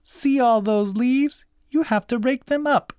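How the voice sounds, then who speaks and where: A storybook narrator voicing a bossy, grumpy young girl who gives sharp, demanding orders. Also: medium pitch, measured pace, smooth, no room sound, studio quality, pitched above the performer's own voice, natural voice. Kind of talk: children's storybook character voice.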